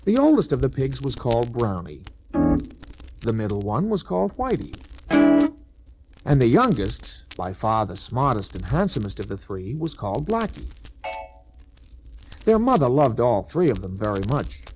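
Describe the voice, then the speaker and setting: A man's voice: medium pitch, big pitch swings, moderate pace, long pauses, music in the background, rough recording. A man narrating a scripted children's story in 1960s storybook-record style.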